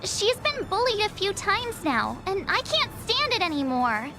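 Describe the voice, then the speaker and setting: A spirited, impulsive young girl from a game story. Her voice is extremely high and swoops expressively from phrase to phrase. Music plays clearly underneath. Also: very animated, unhurried, heavily acted. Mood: worried, indignant. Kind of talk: scripted game story dialogue. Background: background music.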